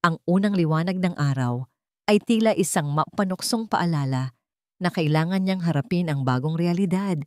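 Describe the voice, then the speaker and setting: A woman's voice: lowish voice, normal speed, a little mellow, dry recording, studio quality. A woman narrator reading a scripted, dramatic love story.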